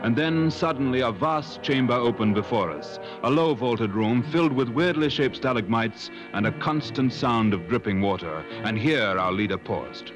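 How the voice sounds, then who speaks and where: An actor playing an earnest male adventurer who narrates a safari tale in measured, dramatic 1950s radio style. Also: medium pitch, lively intonation, muffled recording, natural voice. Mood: grave, suspenseful. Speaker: narrator and character in a radio play. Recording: old radio broadcast with music.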